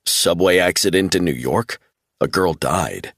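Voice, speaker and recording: A calm, blunt man speaking in a low, even voice, matter-of-fact but concerned. A male narrator performs him. Close, dry sound. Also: unhurried, no room sound, full character voice. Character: steady, blunt adult man, concerned friend.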